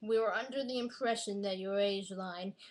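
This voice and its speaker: A woman voicing a middle-aged smoker who reads a storybook aloud theatrically, with a raspy, affected voice. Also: medium pitch, moderate pace, natural voice. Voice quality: smoker's rasp. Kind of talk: dramatic storybook reading.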